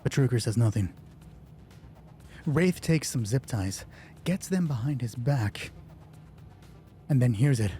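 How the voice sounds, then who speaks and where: A man's voice: medium pitch, animated, slow pace, pauses often, mellow, soft background music. A man narrating a solo tabletop roleplay as game master, thinking aloud in a casual, conversational way. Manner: casual, conversational, reasoning through rules aloud.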